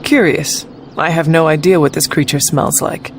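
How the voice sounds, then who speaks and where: An actress playing a solemn resurrected warrior in a video game, speaking formally and earnestly with measured care. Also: female voice, low-pitched, steady tone, slight background noise. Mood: solemn, curious. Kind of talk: video game cutscene acting.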